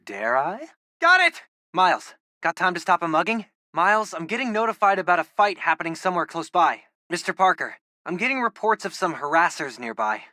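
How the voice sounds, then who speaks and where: A man's voice: high-pitched, bright, forceful tone, dry recording, clean studio sound, exaggerated voice. A young American man voicing an eager, geeky teenage boy, a loyal tech sidekick in a video game, informal and earnest. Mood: excited, focused, then alert, reporting.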